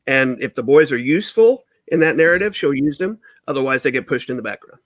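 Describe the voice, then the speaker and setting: A congested man with a high, nasal speaking voice hosting a casual stream chat and often agreeing with short yeahs. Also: animated, no echo. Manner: agreeable, many quick yeahs. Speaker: stream host.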